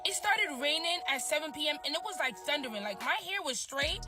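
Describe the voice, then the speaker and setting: A woman with a high, bright voice chats casually and tells a story with lots of slang and expression. Music plays clearly behind her. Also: animated, fairly quick. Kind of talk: casual chat.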